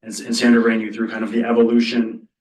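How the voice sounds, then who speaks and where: A man's voice: medium pitch, completely flat delivery, quick pace, a little mellow. A man speaking as a trade lawyer on a panel, thinking aloud and hedging as he answers. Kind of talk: spontaneous panel discussion answer. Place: indoor university event hall. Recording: echoey room, distant mic.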